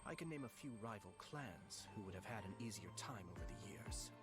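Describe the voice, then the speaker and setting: A composed young lord, played by an actor, courteous on the surface and quietly menacing. His lowish, measured voice carries condescension through this game trailer, under loud music. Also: expressive, close to an everyday voice. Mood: politely threatening. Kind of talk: game character trailer acting.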